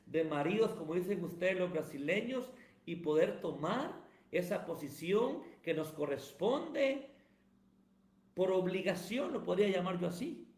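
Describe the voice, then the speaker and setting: A man talks in a high, ringing voice. He answers like a pastor in the pulpit, building emphatic runs of phrases. The room around him is roomy and echoey. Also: expressive, a little slow, darkish tone. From Guatemala. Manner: emphatic, sermon-like cadence. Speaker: pastor and evangelist, guest. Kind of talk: preaching-style interview answers. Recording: roomy echo.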